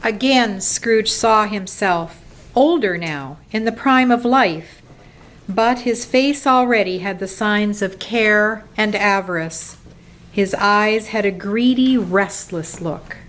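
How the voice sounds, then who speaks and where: A woman narrating a radio play as a storyteller, measured and dramatic. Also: mid-range voice, rough recording. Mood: storytelling. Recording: loud music behind the voice.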